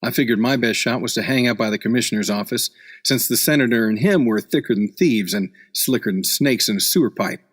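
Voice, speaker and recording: A man's voice: mid-range voice, fairly quick, slightly rough, close to an everyday voice. A man acting a hard-boiled, wisecracking seasoned newspaper reporter in a noir radio play, with clipped, cynical tough-guy slang. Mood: driven, cynical.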